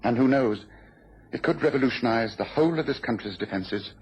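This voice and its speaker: An actor playing a shrewd, commanding British detective in a mid-century radio drama, speaking precisely and briskly. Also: male voice, medium pitch, animated, slightly rough. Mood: satisfied, explanatory. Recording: muffled, noisy old radio recording.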